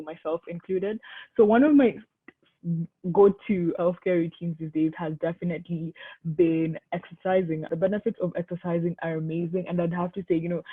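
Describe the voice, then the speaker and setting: A woman's voice: on the low side, husky. An eighteen-year-old woman from Kenya, speaking earnestly and measuredly as a panelist on a video call. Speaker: panelist, mental health activist. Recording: tinny phone-like line.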